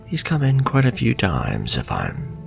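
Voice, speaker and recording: A man playing a suave, flirtatious, sinister warlock shopkeeper in a fantasy ASMR roleplay, speaking softly and courteously and drifting into breathy whispers. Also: on the low side, wildly swooping pitch, measured pace, faint music behind, full character voice. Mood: suave, hospitable.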